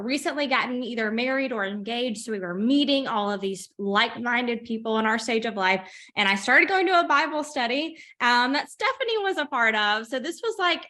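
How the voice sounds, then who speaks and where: A woman's voice: a little high, bright, forceful tone. A woman in her thirties from Mississippi with a Southern accent, casually sharing her personal story as a co-host. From Mississippi, United States. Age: 30s. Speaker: co-host of a women's Bible reading group. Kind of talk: casual personal testimony in conversation.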